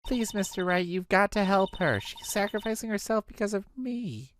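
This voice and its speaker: A woman's voice: mid-range voice, expressive, normal speed, background noise, lightly acted. A woman voicing a pleading, earnest teenage girl, a devoted younger sister in a video game. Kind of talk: amateur video game voice acting.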